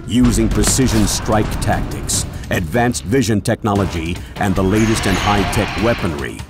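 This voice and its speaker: Grave trailer narrator guy, low voice, deliberate, loud music under him. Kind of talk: dramatic scripted game trailer narration.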